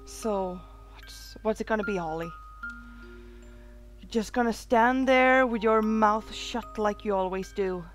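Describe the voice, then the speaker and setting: Woman as very slow-spoken bitter young woman. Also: mid-range voice, natural voice. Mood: scolding. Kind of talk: visual novel voice acting. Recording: music behind the voice.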